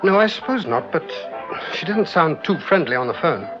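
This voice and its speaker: A worried, down-on-his-luck showman in a vintage radio play, hesitant and eager to please. His pitch moves a lot, in a dull recording with music behind. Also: big pitch swings, normal speed. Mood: anxious, pleading. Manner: nervy, hesitant, pleading. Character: anxious, desperate hard-drinking male cabaret performer. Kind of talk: vintage British radio drama acting.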